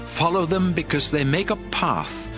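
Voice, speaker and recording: A man's voice: a little high, unhurried, music in the background, lightly acted. An actor playing a solemn, commanding male prophet who addresses his people with emphatic oratory in a dramatized audio Bible. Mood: earnest.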